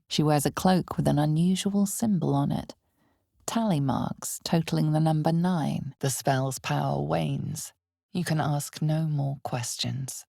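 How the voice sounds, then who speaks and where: A woman voicing a grave, ominous narrator of a dark fantasy video game, speaking in measured, deliberate dramatic narration with a breathy, husky voice that often drops toward a whisper. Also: low-pitched, no echo, clean studio sound, full character voice. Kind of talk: scripted dramatic second-person fantasy narration.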